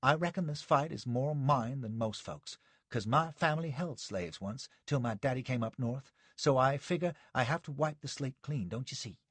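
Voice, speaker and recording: A man voicing an earnest, zealous young frontier fighter who speaks in a solemn, fervent, low-voiced way. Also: normal speed, fairly bright tone, no echo, close to an everyday voice. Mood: fervent confiding.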